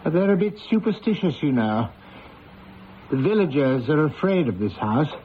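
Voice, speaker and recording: An actor playing a polite, cautious village house agent, speaking in a courteous, hesitant, foreboding way in an old-time radio drama. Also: male voice, fairly high pitch, big pitch swings, normal speed. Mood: uneasy, ominous. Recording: dull, noisy old radio recording.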